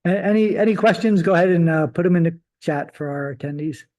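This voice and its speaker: A man hosts a live webinar, answering his guest with short approving remarks and now and then tripping over the start of a sentence. His voice sits a little high but sounds mellow and warm. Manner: affirming, brief reactions, halting restarts. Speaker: host.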